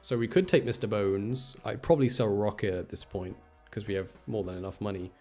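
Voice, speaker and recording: A man's voice: on the low side, fairly quick, a little mellow, soft background music. A man giving casual, chatty live game commentary, thinking aloud and correcting himself with mild exasperation. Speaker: game streamer.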